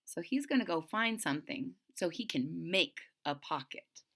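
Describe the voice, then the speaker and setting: A woman's voice: lowish voice, animated, moderate pace, fairly bright tone. A woman reading a children's story aloud expressively and retelling it in simple words.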